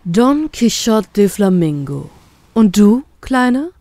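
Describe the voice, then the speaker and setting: A woman's voice: mid-range voice, big pitch swings, slow, dark tone, dry recording. A woman voicing a sly, domineering male pirate with a teasing, commanding way of talking. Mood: smug.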